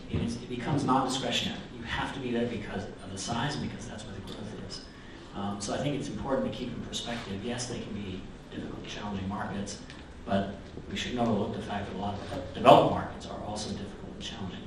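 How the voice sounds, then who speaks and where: A man from California talking casually on a conference panel, often making his point with rhetorical questions. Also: on the low side. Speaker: panelist, risk consultant. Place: conference stage in a large hall. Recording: echoey hall, distant mic, noisy.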